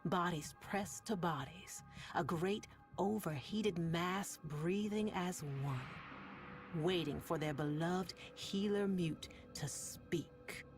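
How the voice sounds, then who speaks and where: A woman playing a sardonic, world-weary radio host in a sci-fi game, telling stories in a deliberate, ominous, wry way with long pauses over music. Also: fairly low pitch. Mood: dark, suspenseful. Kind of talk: slow dramatic monologue to listeners, storytelling.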